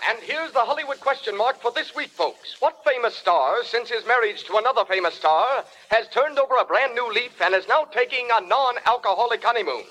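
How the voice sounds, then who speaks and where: An actor playing a brash, gossipy Hollywood radio columnist, with punchy, insinuating delivery in an old radio broadcast style. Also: male voice, very high-pitched, lively intonation, a little fast, hardly any pauses, low-quality recording. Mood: gossipy, sly.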